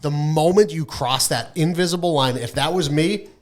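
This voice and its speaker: A man's voice: on the high side, normal speed. A man co-hosting a podcast, ranting casually and emphatically with heavy swearing and repeating himself for emphasis. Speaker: podcast co-host. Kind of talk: loud irreverent casual podcast rant.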